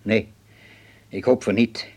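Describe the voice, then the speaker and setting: An actor playing a cautious, grave, fatherly older German man in a radio drama, with a measured, formal and guarded delivery. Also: lowish voice, fairly even intonation, darkish tone. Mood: worried, guarded.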